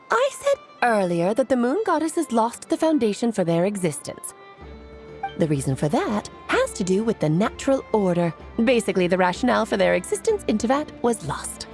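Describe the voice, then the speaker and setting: A woman's voice: mid-range voice, a little mellow. An actress playing a playful, self-assured, motherly great mage in a video game, breezy and theatrical, given to lecturing. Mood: explanatory. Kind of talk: scripted video game character dialogue.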